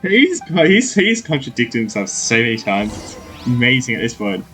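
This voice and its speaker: A man's voice: mid-range voice, very animated, moderate pace, fairly bright tone, faint music behind, low-quality recording. A young man streaming a game, laid-back, joking and swearing as he comments. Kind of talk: casual gameplay commentary.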